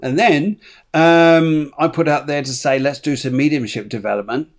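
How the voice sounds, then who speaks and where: A man's voice: a little high, normal speed. A man hosting an online spiritual show, chatting casually with many fillers and restarts. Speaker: show host.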